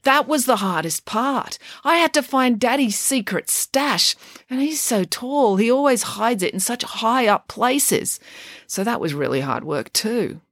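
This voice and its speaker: A woman voicing a scatterbrained, chatty mother who is playful and whimsical, talking in a raised, animated way. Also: a little high, measured pace, dry recording, clean studio sound, voice pushed up, exaggerated voice.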